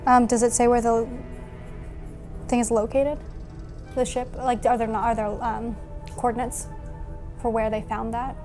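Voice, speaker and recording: A woman's voice: on the high side, level tone, a little slow, long pauses, warm tone, faint music behind. A woman speaking casually as a player in a tabletop role-playing game.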